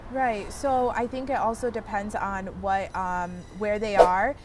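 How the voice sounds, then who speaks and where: A woman's voice: mid-range voice, fairly even intonation, a little slow, distant mic. Woman in her twenties, off-mic, traffic. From Michigan, USA. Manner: hesitant, many filler ums, earnest. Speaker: interviewee, medical student. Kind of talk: casual interview answers.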